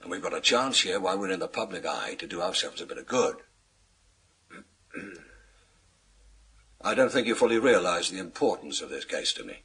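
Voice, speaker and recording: An actor playing a pompous, image-obsessed senior British police chief in a radio thriller, formal and given to pauses. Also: male voice, low voice, animated, pushed and bright, slightly rough, heavily acted. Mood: weary, worried. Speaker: actor in a radio crime drama.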